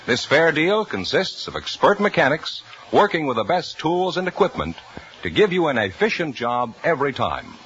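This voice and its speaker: A man working as a radio announcer, reading an ad and the game rules in a crisp, booming delivery. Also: fairly bright tone. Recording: dull old radio recording.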